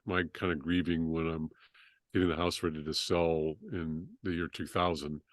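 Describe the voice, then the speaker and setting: A middle-aged man, a surgeon guest, telling his story in a halting, conversational way with many restarts. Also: flat delivery, no echo, studio quality. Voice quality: deep voice.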